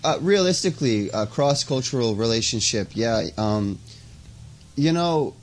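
A young man from the United States, a podcast guest talking casually and haltingly, with many "you know"s, over an earphone mic on a video call. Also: mid-range voice, animated. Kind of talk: interview conversation. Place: indoors by a window, video call.

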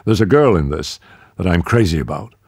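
A man reading aloud, voicing an anxious young man in love, pitched above his own voice. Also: animated, brisk, vocal fry, darkish tone, breathy edge, no echo, clean studio sound, exaggerated voice.

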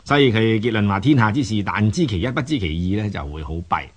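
A man giving unscripted explanatory commentary as a programme host, didactic and halting, often repeating words. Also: on the low side, big pitch swings, slightly rough.

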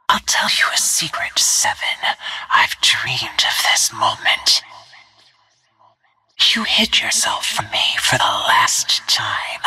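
A stealthy killer murmuring slowly at her target's ear in a video game, husky, breathy and icily menacing. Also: very deep voice, no room sound, full character voice. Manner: slow, hushed, controlled, threatening. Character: cold, menacing female assassin who stalks her targets. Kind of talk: scripted video game character barks.

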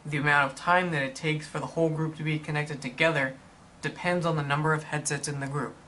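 A man reading scripted instructional narration in a measured, explanatory manner for a product tutorial. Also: on the high side, fairly even intonation, bright, forceful tone, a little hiss or hum.

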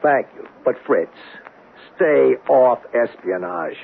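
A man playing a measured, cautious police captain in a 1970s radio drama, matter-of-fact and procedural. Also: medium pitch, animated, creaky. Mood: cautioning. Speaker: actor in a radio mystery drama. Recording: narrow, noisy old radio recording.

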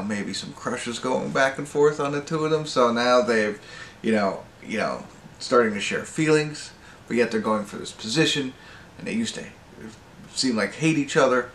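A middle-aged American man giving a casual, unscripted movie review in a halting, rambling way, often correcting himself. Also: fairly low pitch, a bit bright. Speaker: amateur movie reviewer and host. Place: small indoor wood-panelled room at home. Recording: roomy echo with faint background noise.

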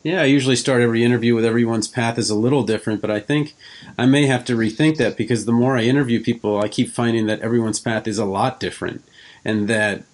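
A man's voice: mid-range voice, steady tone, fast. A man hosting a podcast interview in a conversational style, asking questions and responding to his guest.

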